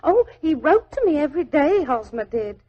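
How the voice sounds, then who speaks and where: An actress playing a naive, devoted young typist, anxious, chatty and deferential, in 1940s radio style. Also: female voice, high-pitched, very animated, dull audio. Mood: earnest, devoted. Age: young adult. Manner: deferential, chatty, halting when flustered. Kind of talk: 1940s radio drama acting. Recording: old 1940s radio drama recording.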